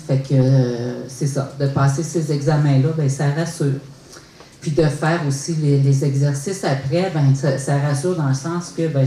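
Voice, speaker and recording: Low-voiced Québécois woman, fifties, halting testimony, distant roomy hall sound. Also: warm tone, a little hiss or hum. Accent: Québécois French. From Quebec. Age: 50s. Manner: hesitant, many fillers and restarts. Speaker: research study participant. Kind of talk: public testimony at a talk. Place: lecture hall.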